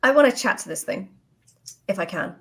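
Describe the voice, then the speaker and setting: A woman's voice: mid-range voice, expressive, fairly quick, slightly rough. A woman playing a tabletop role-playing game, chatting casually and speaking for her character.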